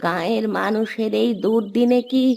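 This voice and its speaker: A woman's voice: a little high, normal speed, a little mellow, natural voice. A woman voicing a scheming old village midwife, plaintive and insistent, in a cartoon story. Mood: insinuating. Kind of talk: Bengali cartoon story dialogue. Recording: noisy background with birdsong.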